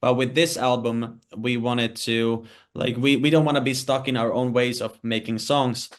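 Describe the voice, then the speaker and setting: A man's voice: medium pitch, level tone, moderate pace. A Finnish-accented man, a musician guest on a podcast, whose native tongue is Finnish. He works through his answer point by point in English, with plenty of "like" fillers and small restarts. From Finland. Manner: thoughtful, lists points with many "like" fillers. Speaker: band member, guest.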